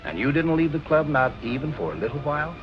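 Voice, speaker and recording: An actor playing a probing, evasive police captain in a 1940s film noir style. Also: male voice, on the high side, very animated, quick pace, lo-fi sound. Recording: old film soundtrack with music behind.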